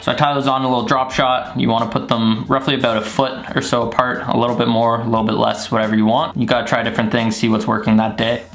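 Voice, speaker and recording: A young man in his twenties casually explaining gear to the camera from a small bedroom, relaxed, with frequent fillers. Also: mid-range voice, fast, hardly any pauses. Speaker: fishing channel host. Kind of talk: casual tutorial vlog.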